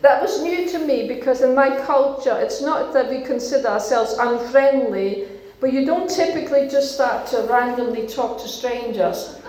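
A woman's voice: sits high, moderate pace, darkish tone. A woman from Denmark preaching a sermon as a pastor, earnest and encouraging, in short emphatic phrases. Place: church hall. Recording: very echoey church hall.

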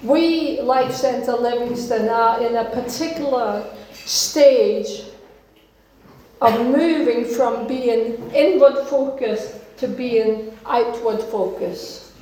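A woman's voice: fairly high pitch, slow pace, warm tone. A woman from Denmark preaching a sermon as a pastor, earnest and encouraging, in short emphatic phrases. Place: church hall. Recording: very echoey church hall.

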